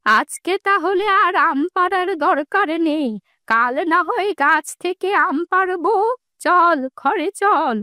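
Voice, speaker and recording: A woman's voice: extremely high pitch, lively intonation, takes it slowly, pushed and bright, no echo, studio quality, heavily acted. A woman voicing kindly village womenfolk in a fairy-tale cartoon. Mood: practical. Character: kindly old village granny and her young girl companion, cartoon women. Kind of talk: cartoon voice acting. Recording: music behind the voice.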